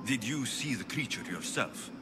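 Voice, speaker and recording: An actor playing a stoic ancient Greek mercenary hero in a video game, with a blunt, dry-witted delivery. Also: male voice, medium pitch, expressive, moderate pace, very noisy, lightly acted. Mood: resolute. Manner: wry, blunt, resolute.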